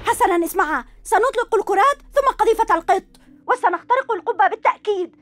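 A woman voicing an eager young superhero boy in a cartoon dub, energetic and shouting hero calls. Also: extremely high pitch, quick pace, a little hiss or hum. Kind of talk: cartoon dubbing.

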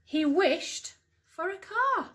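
A middle-aged woman reads a picture book to young children, expressively and deliberately, stressing key words, in a room at home. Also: extremely high pitch, lively intonation, fairly bright tone. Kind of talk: storybook read-aloud for young children. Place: small living room at home.

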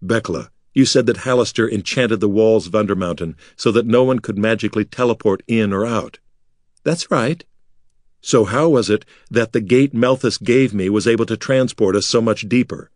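A man voicing a brooding half-orc who talks in a low, grim, blunt way. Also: normal speed, a little mellow, no echo, clean studio sound, natural voice. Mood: probing.

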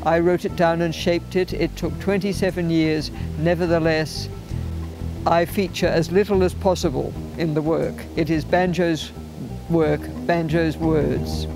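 An older woman author telling her story in a documentary interview, in a formal, measured way. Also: deep voice, fairly even intonation, music in the background. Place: outdoors beside a house.

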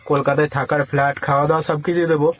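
A man's voice: fairly high pitch, level tone, quick pace, a bit bright, a little hiss or hum. A man talking casually and persuasively on a prank call, coaxing and giving instructions like a casting agent. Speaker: prank caller posing as a film casting agent.

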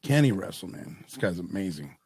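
An American man with a fairly low voice, recorded dry and close on a boom-arm mic. He talks casually, as a podcast co-host trading opinions with a friend. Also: very animated, brisk, creaky, mellow, breathy edge, dry recording. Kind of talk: casual wrestling review chat. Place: home room with desk setup, on a video call. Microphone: microphone on boom arm, close.

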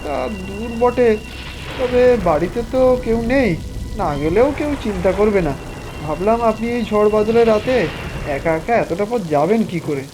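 A woman's voice: mid-range voice, expressive, normal speed, music in the background, natural voice, low-quality recording. A woman voicing a kind, dutiful rickshaw driver in a cartoon, deferential and earnest. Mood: concerned. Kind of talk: Bengali cartoon voice acting.

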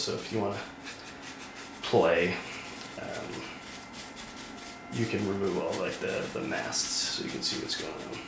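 A man's voice: low-pitched, creaky. A Canadian man from northwestern Ontario talking casually through a crafting tutorial as he paints a model, chatty and rambling. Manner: rambling, chatty, talks while working. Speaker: miniature crafting host. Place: indoor hobby workbench. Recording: roomy, distant mic with loud background noise.

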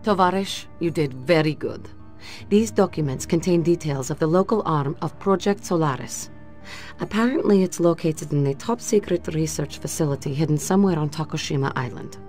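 Lady voicing a low sultry spy lady, smooth and teasing. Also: normal speed, darkish tone, lightly acted. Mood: businesslike. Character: flirtatious female Soviet agent. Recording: game audio with faint music.

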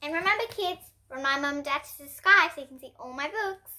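A young girl of about ten to twelve reading a children's storybook aloud in an expressive way. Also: high-pitched, fairly quick. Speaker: host reading picture books for kids. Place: indoor room in front of bookshelves.

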